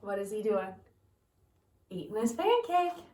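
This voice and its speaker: Animated woman teacher reading aloud. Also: mid-range voice, unhurried. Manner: animated, asks listeners questions. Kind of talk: picture book read-aloud for students. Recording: roomy, from a distance.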